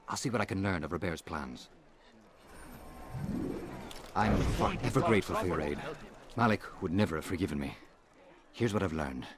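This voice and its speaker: An anxious assassin begs for help and apologizes for failing. His voice is very deep and low. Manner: pleading, apologetic.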